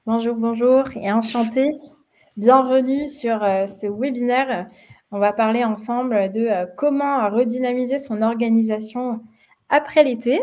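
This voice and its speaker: A woman's voice: on the high side, a little slow, darkish tone. A young woman from France with a Parisian accent, hosting a webinar and talking with many hesitations and fillers. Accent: Parisian French. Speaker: marketing webinar host. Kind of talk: webinar presentation.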